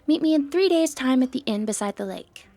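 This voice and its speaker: Sly, flirtatious high-voiced thief played by a woman. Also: lively intonation, brisk, warm tone. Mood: wry, confident. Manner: teasing, confident, wry. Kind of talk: ASMR roleplay acting.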